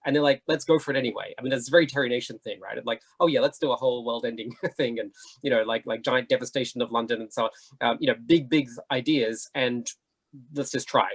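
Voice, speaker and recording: Fast-talking man with a husky edge. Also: medium pitch. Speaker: panelist. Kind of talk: casual panel discussion.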